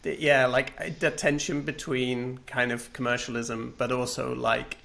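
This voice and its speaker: A man's voice: mid-range voice, level tone, a little slow, gravelly, a bit bright. A man, a game developer on a panel, talking in a thoughtful, hesitant way with many fillers and restarts. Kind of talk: casual panel conversation.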